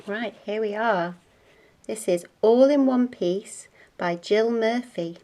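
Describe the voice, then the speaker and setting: A woman reading a children's bedtime storybook aloud in a deliberate, expressive way. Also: a little high.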